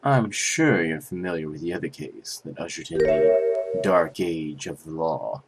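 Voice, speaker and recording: A man voicing a grave, formal male chief prosecutor in a fan dub, speaking in a measured way. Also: rumbling low, over music.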